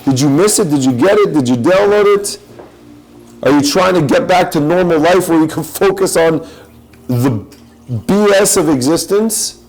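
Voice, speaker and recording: A man's voice: fairly high pitch, expressive, moderate pace, a little mellow, rough recording. A man giving an impassioned spiritual talk, urging listeners with challenging questions.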